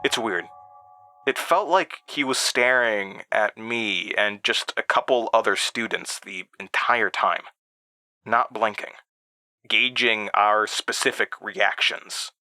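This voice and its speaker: A man's voice: lowish voice, slow pace, sharp tone, dry recording, heavily acted. An actor playing an uneasy man who recounts childhood memories in a confiding, hesitant audio drama monologue. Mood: wry, reflective.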